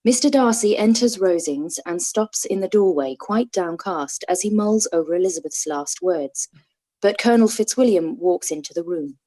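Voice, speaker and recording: Female narrator for a radio-play reading; mellow, slightly husky. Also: fairly low pitch, expressive, normal speed, poor audio.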